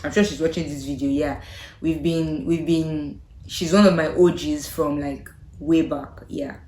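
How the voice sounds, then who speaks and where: A young woman with a Nigerian accent chats to camera in a slightly low voice. She talks thoughtfully and hesitantly, her sentences often fading out softly. The room around her has a noticeable echo. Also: fairly even intonation, unhurried, a little hiss or hum. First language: Yoruba. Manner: thoughtful, hesitant, trailing off softly with frequent laughs. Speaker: vlogger. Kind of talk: casual chat to camera. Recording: echoey room.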